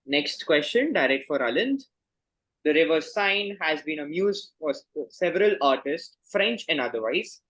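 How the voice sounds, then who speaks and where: A man hosting a quiz, reading questions and announcing results in a courteous, formal, measured way. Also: fairly high pitch, big pitch swings, a bit bright, low-quality recording.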